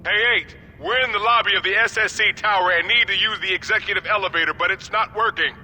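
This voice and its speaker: A man's voice: sits high, quick pace, bright, forceful tone, full character voice. An actor playing a cautious, businesslike male mercenary soldier in a video game, terse and practical. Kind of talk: video game cutscene voice acting. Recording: noisy battle ambience with gunfire and vehicles.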